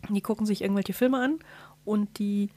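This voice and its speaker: A woman's voice: medium pitch, fairly even intonation, moderate pace, dark tone, no room sound. Woman podcaster with a very dry sound. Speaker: podcast co-host. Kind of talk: casual podcast chat.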